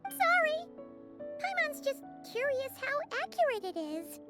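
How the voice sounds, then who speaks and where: A tiny, cheeky fairy companion from a video game, extremely high and childlike. The delivery is curious and excitable, swinging up and down, with loud game music behind it. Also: female voice, unhurried, exaggerated voice. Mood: apologetic, curious. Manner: refers to self in third person, exclamatory.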